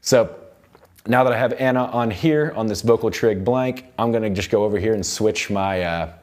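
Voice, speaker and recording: A man's voice: lowish voice, normal speed, darkish tone. A young man giving a casual, informal tutorial as an audio production instructor in a recording studio. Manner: informal, loose explaining. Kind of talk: casual hands-on software tutorial. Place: recording studio control room. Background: music playback from the session.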